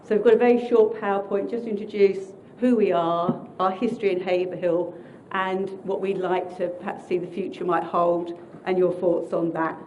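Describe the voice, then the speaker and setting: A woman who is a Salvation Army minister, giving a friendly presentation to a council meeting from prepared notes in her loud voice. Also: on the low side, fairly even intonation, moderate pace, few pauses, dull audio, slight background noise.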